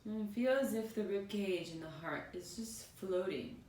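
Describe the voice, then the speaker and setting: Woman meditation guide, soothing and spacious phrasing; roomy, echoing recording with faint background rumble. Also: a little mellow. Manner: soothing, unhurried phrases with pauses. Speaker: yoga and meditation teacher. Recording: roomy, distant mic, faint noisy rumble.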